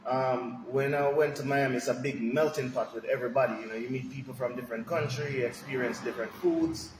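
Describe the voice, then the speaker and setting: A man's voice: medium pitch, a little fast. Guy from jamaica chatting loose on a podcast, lots of you knows, roomy echo with a long tail. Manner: relaxed, rambling, many "you know"s. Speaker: restaurant owner, guest. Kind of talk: podcast interview answers. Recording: echoey room with long reverb, faint noise.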